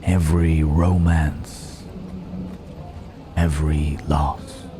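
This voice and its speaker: A man playing a gallant yet menacing Wild West cowboy in an intimate ASMR roleplay, unhurried, with pauses and folksy old-fashioned phrasing. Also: low-pitched, noisy background. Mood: wistful, reflective. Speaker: voice actor in an audio roleplay.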